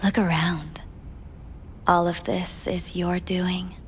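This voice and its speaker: A woman playing a soft, probing, hypnotic pleasure-doll companion in a video game, speaking intimately and often in a whisper. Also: on the low side, level tone, slow, a little hiss or hum.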